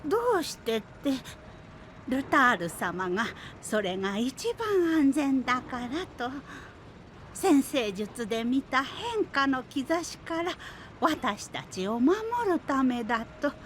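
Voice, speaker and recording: A woman voicing a kindly old village woman in an anime voice drama, talking in a slow, grandmotherly, gently chiding way. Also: high-pitched, lively intonation, a little hiss or hum. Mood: worried. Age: older.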